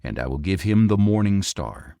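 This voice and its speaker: A man reading scripture as an audiobook narrator, in a solemn, measured, declamatory way. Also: sits low, sing-song, gravelly, mellow, no room sound. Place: dry recording studio.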